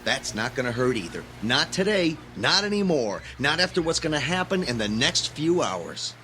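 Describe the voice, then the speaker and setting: A man's voice: medium pitch, sing-song, moderate pace, pushed and bright, soft background music. A man acting in an audio drama as an earnest, idealistic young graduate student with an intense, preachy manner. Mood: indignant, worked up.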